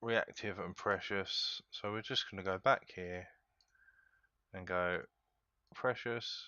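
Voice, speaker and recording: A man hosting a casual game tutorial, walking viewers through each step in a relaxed, chatty way and often calling them guys. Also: deep voice, slow pace, clear, resonant tone, dry recording, dull audio. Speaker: gaming tutorial host.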